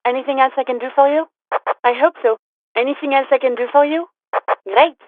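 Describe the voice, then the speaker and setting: A thin two-way radio channel carries a female police dispatcher from a video game. Her voice sits fairly high and is close and dry beneath the filter. She is formal and patient, with a clipped, official courtesy. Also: a little fast, no room sound. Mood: informative, dry. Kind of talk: scripted video game voice lines. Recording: tinny, narrow-band two-way radio.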